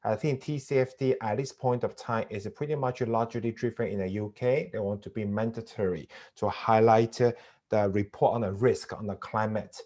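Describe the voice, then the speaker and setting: Male panelist, slightly low voice, talking off the cuff. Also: steady tone, moderate pace, darkish tone. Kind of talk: unscripted panel commentary.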